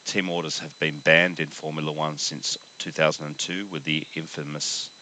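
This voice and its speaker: This is a man with a very deep voice, made croaky by a flu, hosting his own podcast. His tone stays bright and forceful, with a firm edge. He delivers an earnest, opinionated rant straight to camera, often stopping to restart his thoughts. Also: flat delivery, normal speed, slight background noise. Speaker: podcast host.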